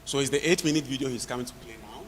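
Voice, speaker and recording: A man addresses a conference crowd in an easy, conversational tone with a hint of apology. His voice has a mid pitch and carries a long hall reverb, with faint noise behind it. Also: racing. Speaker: symposium speaker. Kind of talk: casual remarks to an audience.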